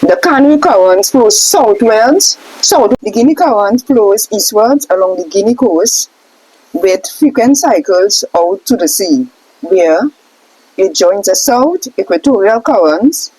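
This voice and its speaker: A woman's voice: mid-range voice, lively intonation, unhurried, darkish tone, breathy edge, rough recording. A woman reading aloud from a book in a question-and-answer format, sometimes restarting her phrases. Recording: faint hiss in background.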